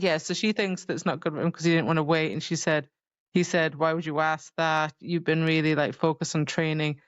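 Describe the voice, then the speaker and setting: Excitable woman with slightly dull, dry sound. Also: fairly low pitch, clean tone, dry recording. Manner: excitable, exclamatory reactions. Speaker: podcast co-host. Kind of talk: casual book discussion.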